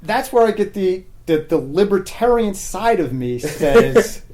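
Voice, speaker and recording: An American man talking casually and argumentatively as a podcast guest, halting often and leaning on filler words. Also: sits high, expressive, darkish tone. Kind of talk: casual opinionated conversation.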